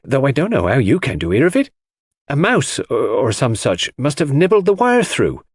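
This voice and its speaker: A man's voice: medium pitch, huge pitch swings, normal speed, breathy edge, no echo, studio quality, voice pushed up. A man voicing a polite, slightly flustered female household servant in a mystery audiobook. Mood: surprised, helpful.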